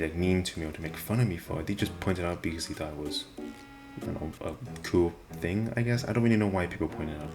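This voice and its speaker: A young man with a deep voice and nonstandard R sounds, talking casually and self-deprecatingly to the camera. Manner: rambling, self-deprecating, many restarts. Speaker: vlogger. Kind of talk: casual rambling monologue. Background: video game music playing.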